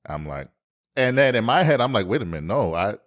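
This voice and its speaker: A man with a deep voice co-hosting a podcast, candidly retelling a story and acting out his own past lines. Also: sing-song, fast. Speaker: podcast co-host. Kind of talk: candid storytelling in casual chat.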